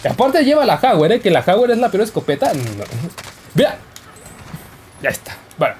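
A man's voice: high-pitched, sing-song, moderate pace, a little mellow, slight background noise. A young man giving casual, joking commentary over his gameplay. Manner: playful, jokey, yelps and laughs while playing. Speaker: gaming YouTuber.

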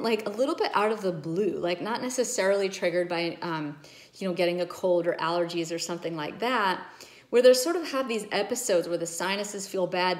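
Earnest middle-aged woman doctor, slightly low. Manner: earnest, emphatic, gestures with her hands. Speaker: ENT doctor. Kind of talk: explaining health care to camera. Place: home room with bookshelves, indoors. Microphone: phone camera at arm's length.

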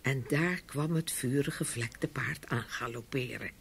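A woman narrating a scripted fairy tale with expressive storytelling. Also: a bass voice, unhurried, creaky.

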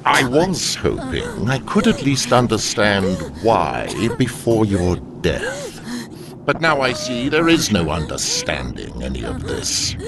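A man playing an ancient alien demon in an audio drama, grave and condemning, speaking in slow, solemn, formal pronouncements. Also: fairly low pitch, wildly swooping pitch, vocal fry, background noise, heavily acted. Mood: solemn reproach.

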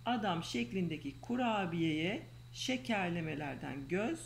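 Soft-voiced mother reading a storybook. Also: on the low side, animated, creaky, slight background noise. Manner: gentle, coaxing, reads slowly. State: losing her voice. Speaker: mother reading aloud to her daughter. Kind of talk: reading a children's storybook aloud. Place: home bedroom, indoors.

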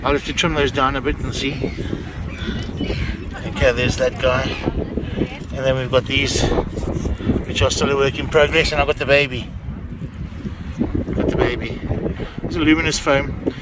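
A middle-aged man chatting excitedly to his viewers while fishing on an outdoor harbour pier, filmed on an action camera. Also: mid-range voice, measured pace, pauses often. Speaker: fishing vlogger. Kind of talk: casual vlog narration. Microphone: handheld or body-worn action camera. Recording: loud wind and sea noise outdoors.

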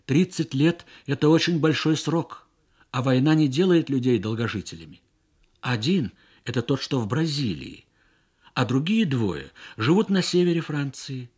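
A man voicing an earnest young woman interpreter in a radio story, explaining in a measured way. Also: mid-range voice, animated, a little mellow, slightly rough. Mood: earnest, brisker. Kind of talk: Soviet radio story reading.

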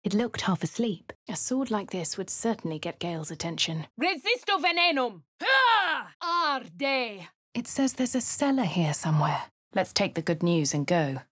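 An actress with a posh English accent voicing a composed young female adventurer in a game, crisp and clipped. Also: mid-range voice, big pitch swings, normal speed, a bit bright, dry recording, clean studio sound. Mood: incanting. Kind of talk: game voice lines and narration.

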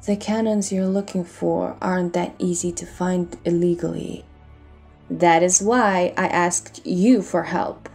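A woman's voice: fairly low pitch, fairly even intonation, a little slow, darkish tone, husky, faint music behind. A woman acting a cool, sly smuggler in a dramatic audio roleplay, speaking in a pointed way. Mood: cool, needling. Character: cool, sly female smuggler trading with pirates.

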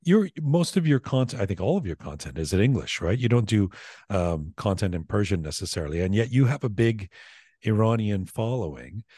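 A middle-aged man hosting a podcast, asking interview questions in a studio mic, halting and searching for his words. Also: lowish voice, sing-song, warm tone, breathy edge, no room sound. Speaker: podcast host. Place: radio studio. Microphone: studio microphone with headphones.

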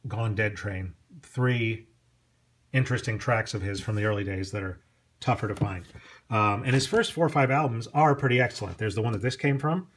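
A man giving casual, off-the-cuff commentary as a knowledgeable, chatty record collector who tends to digress. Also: fairly low pitch. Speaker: record collector presenting a music vlog.

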